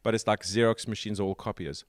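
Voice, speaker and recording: A man with a South African accent hosting a tech podcast, explaining at length in a casual way with pauses and fillers. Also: on the low side, steady tone, dry recording, studio quality. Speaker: podcast host.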